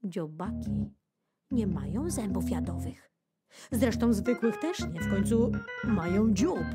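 A woman reads a children's nature book as an expressive audiobook narrator. Her delivery is unhurried and highly animated in pitch, with playful emphasis and dramatic pauses. Keyboard music plays clearly behind her studio-recorded voice.

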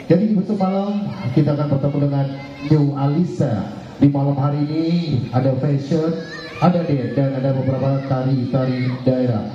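A middle-aged man working as the MC at a night event, announcing the program in a loose, chatty way through a handheld microphone. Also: medium pitch. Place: outdoor stage at night. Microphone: handheld mic over PA. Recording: distant, echoey PA, lo-fi camera.

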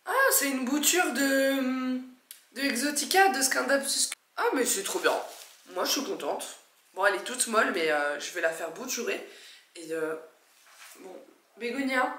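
A young woman in her twenties with a stuffy nose from a cold, chatting excitedly and poking fun at herself while she unboxes a plant in her living room. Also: fairly high pitch, unhurried, reverberant. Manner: chatty, excitable, self-mocking. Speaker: plant vlogger. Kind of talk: casual unboxing vlog.

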